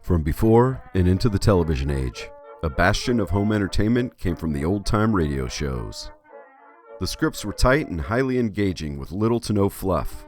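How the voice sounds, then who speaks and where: A man's voice: low voice, lively intonation, normal speed, darkish tone, faint music behind. A man hosting an art video as an artist, with scripted but conversational narration. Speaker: host, artist.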